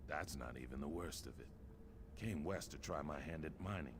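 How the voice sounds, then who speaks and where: A man's voice: very deep voice, normal speed, background noise. An actor playing a gloomy, hard-luck lizard-man drifter in a video game, telling things in a weary, rueful way. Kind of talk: video game character acting.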